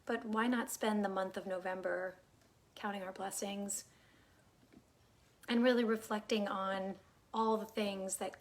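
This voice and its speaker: Calm woman guiding a meditation. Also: medium pitch, vocal fry. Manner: calm, unhurried, soothing, soft phrases trailing into breathiness. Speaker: guided meditation teacher. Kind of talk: guided meditation, gentle instruction.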